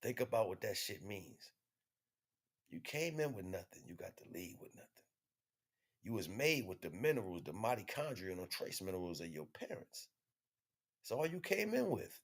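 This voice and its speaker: A man delivering an impromptu spiritual monologue in an emphatic, repetitive, slangy street-preacher manner, with a husky voice. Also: lowish voice, big pitch swings, moderate pace. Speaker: spiritual teacher streaming online.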